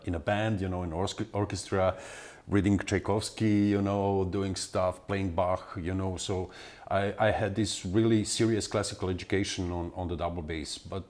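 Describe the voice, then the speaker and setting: A man in his forties from Serbia with a Serbian accent, answering interview questions in halting speech full of fillers and restarts. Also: low-pitched, darkish tone. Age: 40s. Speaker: guest musician.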